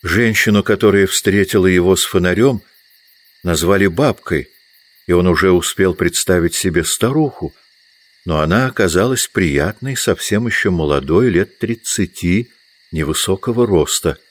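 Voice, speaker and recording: Casting: male narrator with a very low voice for measured, literary audio drama storytelling. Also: dry recording.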